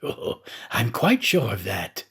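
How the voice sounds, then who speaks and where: A jovial manservant from a storybook, acted out by a male reader, teases with a sly, bantering manner. The voice is husky and swings widely in pitch. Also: wildly swooping pitch, slow pace, fairly bright tone, exaggerated voice. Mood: teasing, jovial. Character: bantering, hearty French manservant, sly and teasing.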